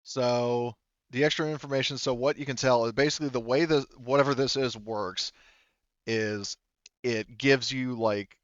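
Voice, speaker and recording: A man with a smooth, clear, lowish voice acts as game master for a tabletop game. He explains in a casual, hedging, conversational way, recorded dry on a slightly muffled mic. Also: steady tone, normal speed, no echo.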